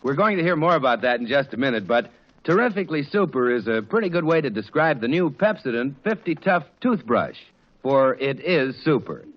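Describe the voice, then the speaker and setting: A man's voice: mid-range voice, sing-song, fairly quick. An American man announcing in the polished sales style of 1940s radio. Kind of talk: 1940s radio advertising and scripted narration. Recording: dull, muffled 1940s radio recording.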